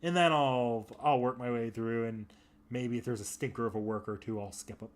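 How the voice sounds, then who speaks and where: A man's voice: medium pitch, steady tone, normal speed. A man chatting casually while streaming a game. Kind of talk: casual chat while gaming.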